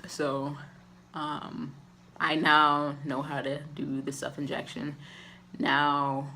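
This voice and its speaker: A man giving a candid, casual personal update to camera, with a raspy voice and frequent filler ums. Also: fairly high pitch, sharp tone, a little hiss or hum. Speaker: vlogger.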